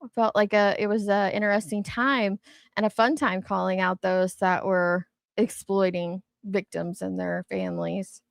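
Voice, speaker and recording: A woman's voice: medium pitch, fairly even intonation, moderate pace, gravelly, dry recording, clean studio sound. A woman giving casual, candid commentary as an online show host, speaking emphatically and swearing mildly.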